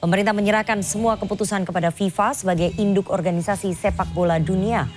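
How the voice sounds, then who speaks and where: A woman's voice: medium pitch, fairly even intonation, fast talker. A female television news anchor with a mid-range voice. She reads scripted news, with faint music in the background.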